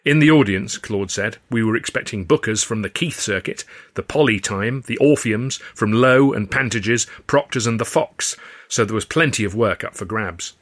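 A man reading a scripted first-person story as an audiobook narrator, in an expressive storytelling manner. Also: fairly low pitch, moderate pace.

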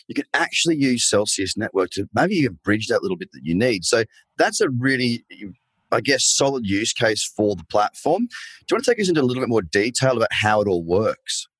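A man's voice: lowish voice, sing-song, fast talker, husky, dry recording. Chatty man hosting an interview. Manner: chatty, enthusiastic, says "mate". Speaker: podcast host. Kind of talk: casual interview.

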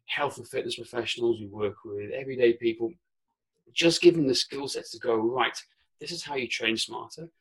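A Welsh man, Welsh his first tongue, talks casually as a podcast guest from a spare room at home. His voice is bright and forceful with a gravelly fry. He restarts his words often in a chatty, easy way. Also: medium pitch, poor audio. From Wales. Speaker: podcast guest, company founder. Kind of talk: casual interview chat.

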